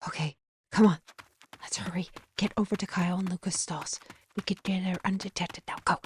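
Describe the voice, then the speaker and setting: A woman's voice: fairly low pitch, fairly even intonation, fairly quick, slight background noise, natural voice. A woman acting a bossy, scheming sorceress mistress in an audio roleplay, with a theatrical, commanding delivery. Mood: hushed, urgent.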